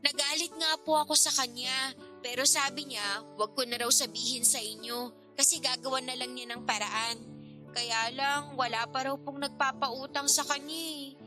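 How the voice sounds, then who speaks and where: A woman's voice: high-pitched, fairly even intonation, moderate pace, music in the background, lightly acted. A woman acting in a radio drama as a respectful, worried teenage niece, speaking hesitantly and pleadingly. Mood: pleading, sorry. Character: apologetic young niece, fresh senior high graduate, worried and respectful.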